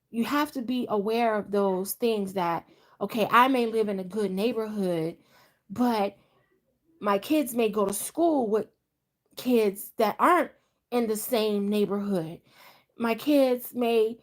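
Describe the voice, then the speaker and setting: Chatty middle-aged woman, rambling. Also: on the high side. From Delaware, US. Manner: rambling, conversational, frequent restarts. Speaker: vlogger. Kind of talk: casual live chat.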